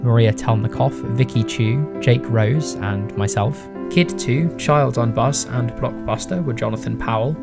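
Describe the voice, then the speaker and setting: A man's voice: mid-range voice, vocal fry. A man, the writer and director, reading scripted closing credits over guitar music. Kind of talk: scripted announcement.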